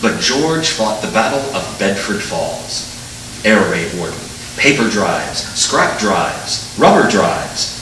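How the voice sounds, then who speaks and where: An actor playing an authoritative senior angel narrator, telling the story dramatically in measured 1940s radio style. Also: male voice, on the low side, sing-song. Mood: solemn storytelling. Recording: echoey stage, distant mic, noisy.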